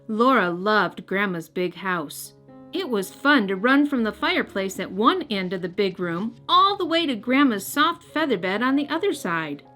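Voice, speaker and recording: A woman's voice: mid-range voice, very animated, moderate pace, pushed and bright. A woman hosting and narrating a children's read-aloud, speaking expressively and directly to young listeners. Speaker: host and storybook reader. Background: music.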